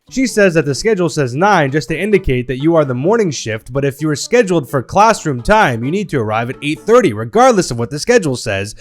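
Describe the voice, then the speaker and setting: Lively man reading stories, animated pitch. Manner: lively, playful storytelling with a wry tone. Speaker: narrator reading Reddit stories.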